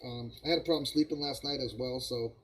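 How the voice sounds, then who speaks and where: A man with a cold, hoarse and coughing, hosting a live stream and chatting casually to viewers while building models. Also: mid-range voice, fast, slight background noise, low-quality recording. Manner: rambling, friendly, greets viewers by name.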